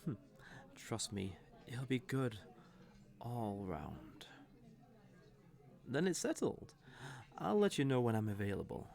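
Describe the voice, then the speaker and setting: Villain for roleplay audio drama, male actor: smug, flirty, teasing male enemy, gravelly, takes his time. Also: mid-range voice, big pitch swings, slow pace, pauses often, darkish tone, exaggerated voice. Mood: flirtatious, teasing. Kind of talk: scripted roleplay audio drama, addressed to the listener.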